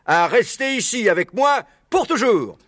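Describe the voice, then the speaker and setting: A man voicing a lively, triumphant male fox who rallies others like a speechmaker in a storybook reading. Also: very high-pitched, a little slow, voice pushed up, full character voice. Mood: earnest, rallying. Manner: exclamatory, rallying speechmaker. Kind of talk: storybook character voice.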